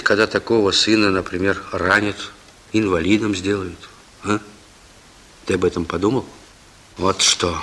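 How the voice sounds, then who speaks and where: A man's voice: fairly low pitch, bright, forceful tone, slightly rough. An actor in a Soviet radio drama playing a seasoned police chief, stern but fatherly, who admonishes and repeats his words. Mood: thoughtful.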